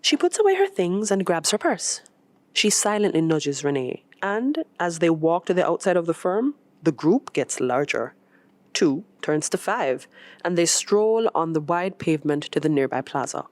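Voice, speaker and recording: A woman reading a short story as scripted narration, in an expressive, measured storytelling manner. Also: on the low side, no room sound. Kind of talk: scripted narration of literary fiction.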